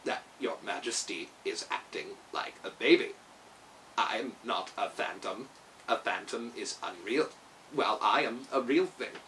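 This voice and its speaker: A man voicing a calm, polite clockwork man from a storybook, gruff and measured. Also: lowish voice, recorded from a distance, voice pushed down, rough recording. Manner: flat, measured, deadpan. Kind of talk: storybook character voice.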